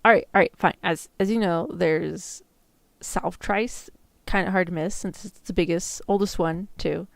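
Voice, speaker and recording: A woman chatting casually as a livestreamer, with a creaky voice and a loose, filler-heavy way of talking, close on the microphone. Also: fairly low pitch, fairly even intonation, unhurried, no room sound. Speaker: VTuber streamer practicing ASMR roleplay. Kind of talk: casual livestream chat, roleplay script practice.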